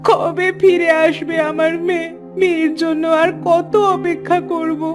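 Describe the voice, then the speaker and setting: A woman's voice: extremely high pitch, little pitch movement, slow, over music. A woman playing a poor, emotive village wife who longs for her child, in a cartoon fairy tale. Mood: sorrowful.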